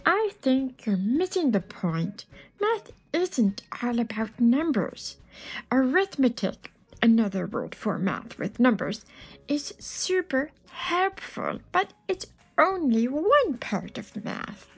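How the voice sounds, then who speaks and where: A woman's voice: sits high, very animated, takes it slowly, slightly rough, soft background music, exaggerated voice. A woman voicing a friendly, enthusiastic space alien who patiently explains math in a picture book read-aloud. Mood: upbeat, explaining.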